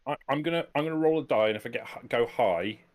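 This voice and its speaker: A man's voice: medium pitch, quick pace. A man playing a tabletop game, chatting casually and thinking aloud with some hesitation.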